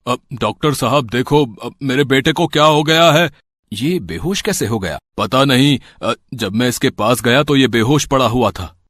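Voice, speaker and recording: A man's voice: mid-range voice, lively intonation, normal speed, fairly bright tone, no echo, clean studio sound. A man playing a shopkeeper and father with plain, earnest delivery in an amateur moral story. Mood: anxious. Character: greedy shopkeeper turned anxious, pleading father.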